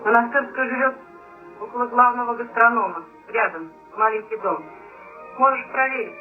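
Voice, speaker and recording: A tinny, phone-thin film clip with clear music behind it. a stern, forceful man in an old wartime drama, speaking in a very high voice for a man. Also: steady tone, normal speed. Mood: insistent. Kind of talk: mid-century film drama acting in Russian.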